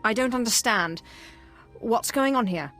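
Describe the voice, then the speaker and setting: An actress playing a composed, courteous Sith apprentice in a video game, with a poised, measured manner. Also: female voice, fairly high pitch, lively intonation, fairly bright tone, soft background music. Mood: wary.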